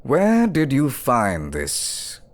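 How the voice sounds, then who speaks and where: Slow, sneering scheming duke. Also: male voice, medium pitch, wildly swooping pitch, heavily acted. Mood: mocking, accusing. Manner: pompous, theatrical, drawn-out taunting. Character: scheming, sneering duke, a smooth-tongued villain. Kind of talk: fantasy audio drama acting.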